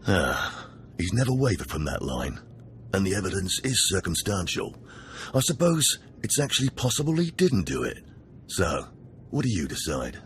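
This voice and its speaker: An actor playing a wry, contemptuous older Sith jailer in a video game, with a sardonic drawl. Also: male voice, sits low, sing-song, normal speed, a little hiss or hum. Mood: musing. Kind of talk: video game cutscene acting.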